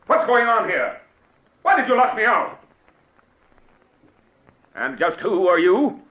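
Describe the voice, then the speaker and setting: A man's voice: sits high, very animated, slow pace, heavily acted. An actor playing an educated, matter-of-fact policeman in 1940s radio drama style. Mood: stern. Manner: matter-of-fact, well-spoken. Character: male policeman, educated, brisk and reassuring. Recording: dull, hissy vintage radio recording.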